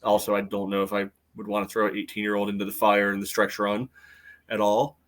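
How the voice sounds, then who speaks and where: An American man giving casual sports analysis in a halting way, often padding with filler phrases. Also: lowish voice, monotone, a bit bright. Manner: halting, frequent "you know". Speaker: podcast panelist.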